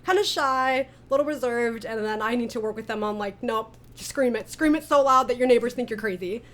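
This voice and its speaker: Higher-pitched woman hosting a casual interview. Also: a little fast, fairly bright tone. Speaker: interview host and audio drama director.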